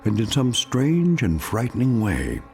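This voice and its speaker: A man performing as the solemn narrator of an eerie radio drama, measured and portentous. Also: mid-range voice, wildly swooping pitch, vocal fry, a little mellow, soft background music, heavily acted. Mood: ominous. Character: solemn, ominous narrator of eerie anthology tales.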